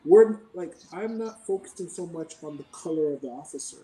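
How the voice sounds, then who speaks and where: A Canadian man answering interview questions in a measured, explanatory way, often checking in with "right?". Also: on the high side, animated, warm tone, echoey room, distant mic, low-quality recording. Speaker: podcast guest.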